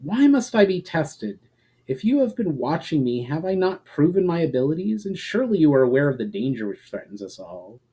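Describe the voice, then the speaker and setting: Brief: male narrator plays wary elf man, proud, insistent, always questioning, relaxed dark-toned voice, fantasy audiobook. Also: on the high side, brisk, breathy edge. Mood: challenging.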